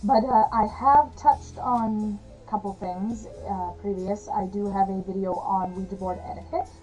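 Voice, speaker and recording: A woman talking casually to camera as a witchcraft vlogger, chatty and rambling with frequent fillers and soft laughs, her voice slightly hoarse and gravelly. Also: medium pitch, fairly even intonation, moderate pace, soft background music, low-quality recording.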